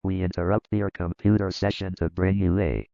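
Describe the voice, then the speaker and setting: A man doing a gravelly crude virus announcer. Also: deep voice, little pitch movement, moderate pace, warm tone, no room sound. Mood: mocking. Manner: crude and mocking. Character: gruff male computer virus announcer. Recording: dull lo-fi sound.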